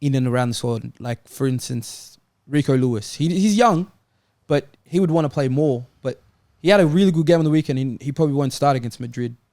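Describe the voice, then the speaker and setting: Male football panelist, creaky voice, dry sound, casual debating style. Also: medium pitch, fairly quick, hardly any pauses, dark tone, no echo. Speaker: football talk show panelist.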